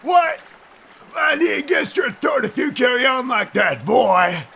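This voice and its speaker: A man playing a stout, brutal prison bully in an audio drama, with a rasping voice and a threatening, barking manner. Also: very high-pitched, very animated, unhurried, bright, forceful tone, raised voice, faint music behind, heavily acted. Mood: furious threats. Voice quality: rasping, gravelly.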